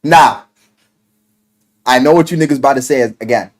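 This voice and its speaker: A man casually retelling a video game story in an animated, rambling, slangy way, with plenty of swearing. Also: medium pitch, normal speed. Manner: animated, exaggerated, swearing, acts out quotes in mock voices. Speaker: game reviewer.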